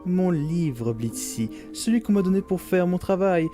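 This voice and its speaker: Swooping, pompous demon aristocrat. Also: male voice, high-pitched, animated, a little slow. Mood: suave insistence. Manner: florid, pompous, suggestive. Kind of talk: animated series dub acting. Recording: loud music behind the voice.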